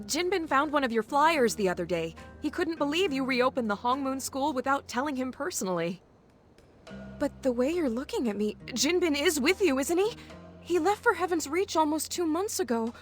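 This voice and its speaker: A gentle, anxious young villager in a video game. She speaks in a high, earnest voice with soft music underneath. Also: expressive, moderate pace. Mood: warm, hopeful. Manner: earnest, pleading. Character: anxious, gentle young village woman worried for her brother. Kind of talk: video game character acting.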